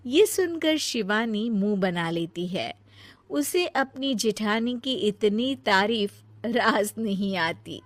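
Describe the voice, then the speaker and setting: A woman narrator tells a cartoon moral tale from a script. Her delivery is slow and measured, and the recording is very dry. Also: medium pitch, animated, dry recording.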